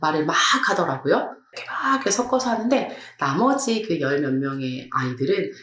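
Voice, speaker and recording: Lively low-voiced woman host. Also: brisk, echoey room. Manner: conversational, animated storytelling. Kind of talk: hosted educational discussion.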